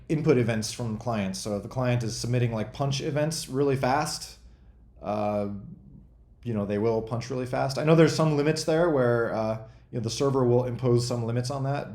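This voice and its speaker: A man in his forties chatting casually as a host, rambling and thinking out loud in a self-deprecating way. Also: medium pitch, reverberant. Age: 40s. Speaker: host and game developer answering chat questions. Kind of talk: casual freeform chat.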